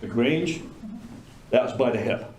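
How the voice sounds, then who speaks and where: A man speaking informally and haltingly from the floor of a town meeting. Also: medium pitch, lively intonation, a little mellow, slight background noise. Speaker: community center director. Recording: roomy meeting hall sound.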